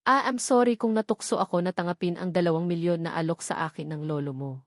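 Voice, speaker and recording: A woman's voice: on the low side, fast, dry recording, studio quality, natural voice. A woman voicing a desperate ex-girlfriend who pleads for forgiveness in a romance audiobook. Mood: pleading.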